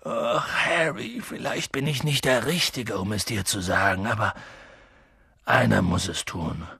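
A reader voicing a huge, gruff half-giant man who blusters loudly but turns hushed and worried. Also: medium pitch, sing-song, moderate pace, no echo, studio quality, heavily acted. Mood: low worried. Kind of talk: audiobook reading.

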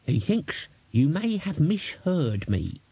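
A cantankerous old manservant, suspicious and quarrelsome, as a male reader puts him on. He drawls his complaints slowly, his voice climbing high and strained with wide swings in pitch. The sound is dry and close, with a husky edge. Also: sing-song, warm tone, no echo, heavily acted. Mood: grumpy. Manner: bawling, quarrelsome, slow drawn-out.

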